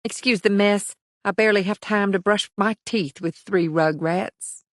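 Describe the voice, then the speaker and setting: Man voicing a tired young mom, high and bright, dry wit, chattering, frazzled. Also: measured pace, no echo. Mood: wry, weary. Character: weary young mother around thirty, dry-humored.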